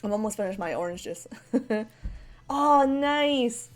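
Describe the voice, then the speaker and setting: A woman's voice: fairly high pitch, animated, takes it slowly. A woman from Scotland with a central belt Scottish accent, chatting casually on a livestream at her desk, rambling with lots of fillers and laughs. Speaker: streamer. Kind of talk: casual livestream chat while drawing. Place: home desk. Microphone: desk microphone. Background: faint background music.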